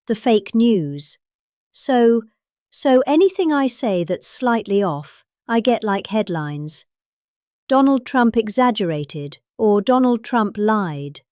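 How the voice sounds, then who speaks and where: A woman's voice: on the high side, darkish tone, dry recording, studio quality. A middle-aged woman with a British accent giving a dramatic reading of a rambling political speech, with exaggerated emphasis.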